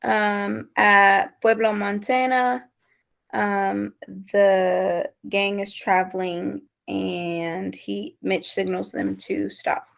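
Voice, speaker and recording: A woman's voice: mid-range voice, level tone, drawn-out words, gravelly. A woman reading scripted stage directions aloud as narrator at an amateur table read.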